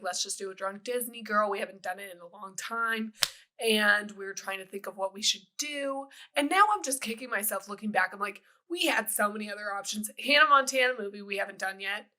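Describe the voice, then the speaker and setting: A young woman co-hosting a casual podcast chat, raspy from a cold, chatty and swearing freely. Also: mid-range voice, a little fast, pushed and bright. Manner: chatty, swears, laughs often. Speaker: podcast co-host.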